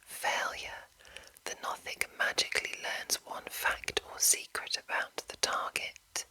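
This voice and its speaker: A woman hosts ASMR in a steady whisper, reading aloud and adding soft asides. Her delivery is slow and lingering, with a very crisp, bright edge to each hushed phrase. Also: low-quality recording. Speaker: ASMR host reading a monster manual.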